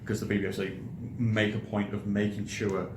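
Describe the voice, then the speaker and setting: A British man hosting a film review show in casual chat, speaking haltingly and often repeating his words. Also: on the low side, flat delivery. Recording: roomy echo, clearly audible background noise.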